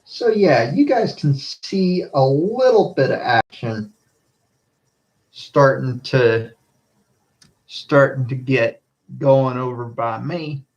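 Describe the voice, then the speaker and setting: Male storm spotter streamer, unhurried and halting, casual commentary to viewers, slightly muffled sound. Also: mid-range voice, expressive. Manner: halting, repeats phrases, addresses viewers as guys.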